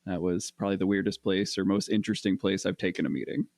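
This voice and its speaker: Low-voiced co-host, dry sound. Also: male voice, little pitch movement, quick pace, gravelly, darkish tone, dry recording. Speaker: podcast co-host. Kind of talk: casual storytelling chat.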